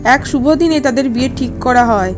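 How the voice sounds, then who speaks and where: A woman's voice: high voice, moderate pace, over music. A woman narrator reading a scripted fairy tale for a cartoon.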